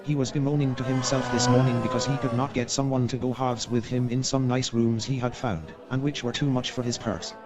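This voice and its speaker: A man's voice: mid-range voice, flat delivery, a little fast, hardly any pauses, lightly acted. An actor playing a friendly, chatty young Victorian man in a comic staged radio play. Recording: crowd murmur behind the voice.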